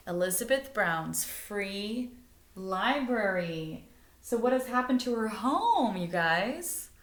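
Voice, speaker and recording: A woman reading a picture book aloud like a teacher, expressively, pausing to explain things and put questions to young listeners. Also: mid-range voice, fairly bright tone. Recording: roomy, echoing indoor sound.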